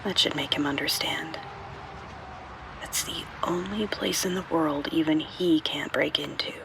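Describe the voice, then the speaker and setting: A weary female bank robber confesses in a scripted audio drama. Her delivery is hushed and breathy, with a gravelly creak running through it. A faint noise sits behind her voice. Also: deep voice, a little slow. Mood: cold, wistful. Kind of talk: scripted first-person confession monologue.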